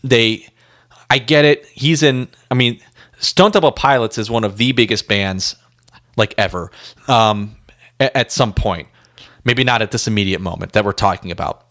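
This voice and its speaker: Lowish guy voice, really dry recording, casual emphatic music chat, repeats himself to drive it home. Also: normal speed, a bit bright, no room sound. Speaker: podcast co-host.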